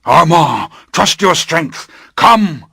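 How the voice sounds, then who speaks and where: A man voicing a protective older male master who shouts urgent commands in an audiobook. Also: on the high side, animated, slow, vocal fry, husky, no room sound, studio quality, voice pushed up, full character voice.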